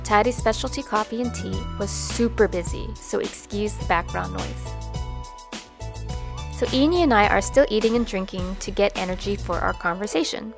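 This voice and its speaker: Chirpy lady vlogger doing voiceover, kinda gravelly, music underneath. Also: medium pitch, moderate pace. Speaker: vlog host and singer. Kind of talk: voice-over narration.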